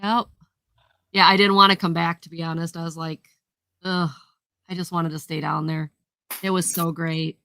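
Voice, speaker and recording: Brief: female co-host with a head cold, gravelly and bright, cheerful storyteller, dry mic. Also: lowish voice, level tone, moderate pace, pauses often, no room sound. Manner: chatty and upbeat, laughs while telling stories. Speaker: co-host guest. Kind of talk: casual chat, telling a travel story.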